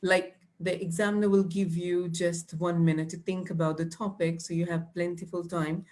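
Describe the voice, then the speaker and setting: A woman's voice: fairly low pitch, flat delivery, normal speed, darkish tone. A young woman, teaching exam tips patiently with many fillers and restarts. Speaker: IELTS trainer. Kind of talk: live online teaching.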